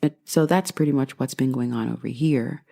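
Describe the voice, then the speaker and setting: A woman's voice: extremely low pitch, normal speed, vocal fry, mellow. A woman from Guyana hosting her own podcast, talking straight to her listeners in a grateful, upbeat way. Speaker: podcast host. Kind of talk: casual solo podcast monologue.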